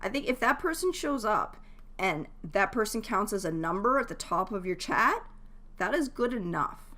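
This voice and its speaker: Woman, mid-range pitch, chatty, casual live-stream host, informal advice to viewers. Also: sing-song, moderate pace, sharp tone.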